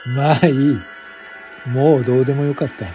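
A man's voice: medium pitch, fairly even intonation, moderate pace, mellow, soft background music. A man giving scripted first-person narration for a radio drama in a brooding, solemn manner.

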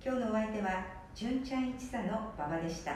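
A woman hosting a radio program, gently explaining and introducing the drama. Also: fairly quick. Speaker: radio show host. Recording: echoey room, distant mic, faint rumble.

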